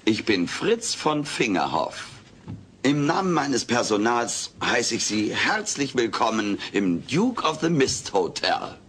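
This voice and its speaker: Brash furry alien joker, lively swooping intonation, theatrical and mock-grand, sitcom audio drama. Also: male voice, mid-range voice, takes it slowly, a little hiss or hum. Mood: brash, showy. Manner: theatrical, pompous, cheeky delivery. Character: brash wisecracking furry male alien playing hotel host. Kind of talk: dubbed sitcom audio play acting.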